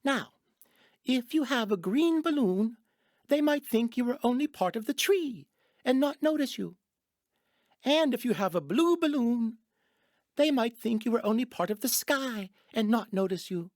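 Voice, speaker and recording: A woman playing a gentle, naive, earnest storybook bear in a children's audio drama, musing in a slow-witted way. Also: fairly high pitch, animated, no echo, clean studio sound.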